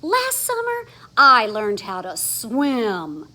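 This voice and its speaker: A woman voicing an excitable, anxious young boy frog in a children's storybook, exclaiming in eager bursts. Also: on the high side, slow, heavily acted. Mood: proud, singsong. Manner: exclaiming, yelping, loud bursts. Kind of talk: children's storybook read-aloud.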